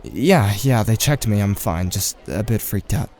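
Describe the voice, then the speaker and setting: Man acting a low-voiced, shaken teenage boy. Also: lively intonation, measured pace, darkish tone, soft background music. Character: shaken, subdued teenage boy narrating his own story. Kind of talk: first-person audio drama narration and dialogue.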